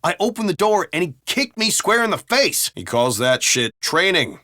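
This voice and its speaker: A foul-mouthed, griping city cop with an American accent in a video game. His pitch sits a little high for a man and moves in lively swings. He talks in wry, casual banter, recorded dry and close to clean. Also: normal speed, no room sound, exaggerated voice. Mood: indignant.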